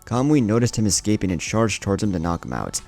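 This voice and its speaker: A man's voice: lowish voice, sing-song, quick pace, gravelly, a little mellow. A man narrating a scripted dramatic story as the host of a story channel. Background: faint music.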